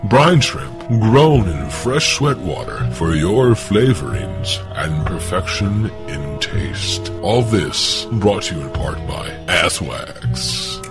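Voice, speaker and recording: A man putting on a salesy announcer voice as a pitchman in a spoof advert. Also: sing-song, slow pace, few pauses, music in the background, heavily acted.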